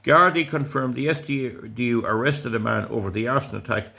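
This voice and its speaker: A man's voice: medium pitch, normal speed, vocal fry, bright, forceful tone. An Irish man in his sixties who reads out news items and rants about them indignantly, rambling and tripping over his words. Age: 60s. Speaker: political commentator. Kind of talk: reading out news and ranting.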